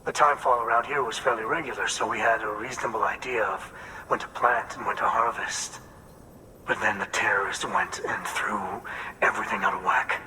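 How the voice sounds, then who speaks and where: In a long reverb with faint noise, a game's farm leader with a very bright, forceful voice. He sounds earnest, folksy and full of hope. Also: fairly low pitch, steady tone, brisk. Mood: grateful, hopeful. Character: hardworking male farm leader, grateful, earnest, hopeful. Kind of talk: video game acting.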